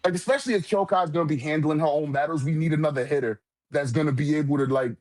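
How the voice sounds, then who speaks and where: A man's voice: fairly high pitch, quick pace, darkish tone, poor audio. A man in a casual manga discussion, talking in an emphatic, profane, slang-heavy way as a commentator.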